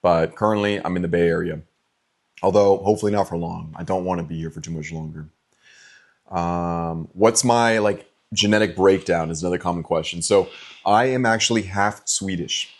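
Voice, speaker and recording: Deep-voiced young man chatting casually. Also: moderate pace. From California, USA. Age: 20s. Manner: relaxed, chatty, frequent ums and likes. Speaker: vlogger answering fan questions. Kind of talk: casual Q&A vlog.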